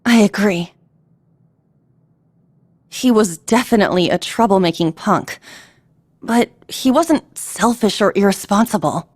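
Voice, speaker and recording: A woman's voice: medium pitch, lively intonation, unhurried, pauses often, a little mellow, dry recording. An actress playing a frank, caring teenage girl at a military school, speaking seriously and thoughtfully in video game dialogue. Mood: reflective, sincere.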